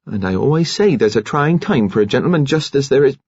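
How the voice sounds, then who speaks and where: A man's voice: mid-range voice, animated, quick pace, darkish tone, breathy edge, no room sound, dull audio, pitched above the performer's own voice. A man voicing a prim, moralising, indignant woman nurse in a genteel scolding manner, as an audiobook character. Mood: reproving.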